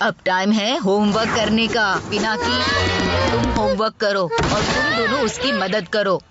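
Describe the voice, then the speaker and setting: A bossy, dramatic cartoon granny. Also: female voice, medium pitch, big pitch swings, measured pace. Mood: bossy, scolding. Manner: scolding, commanding, dramatic. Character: strict, bossy grandmother, a drill-sergeant granny. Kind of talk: exaggerated kids' cartoon acting in Hindi. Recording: muffled audio under loud music.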